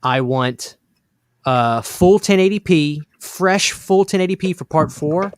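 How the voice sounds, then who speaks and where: A man hosting a casual live horror stream, enthusiastic and emphatic, repeating pet phrases, a little hoarse from losing his voice. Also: fairly high pitch, animated, slow pace, dry recording, clean studio sound. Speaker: horror channel host.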